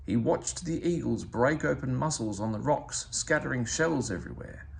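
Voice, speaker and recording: A man reading a children's storybook aloud in an expressive narrating style. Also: medium pitch, moderate pace, vocal fry, slight background noise. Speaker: storybook reader.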